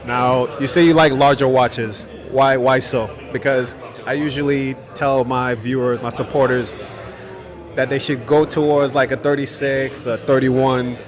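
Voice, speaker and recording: A man's voice: mid-range voice, steady tone, measured pace, crowd noise. A man hosting a vlog at an event, upbeat and speaking straight to his viewers while interviewing guests. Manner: upbeat, addresses viewers directly, friendly interviewer. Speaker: watch vlogger, host. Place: busy indoor event. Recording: music playing behind.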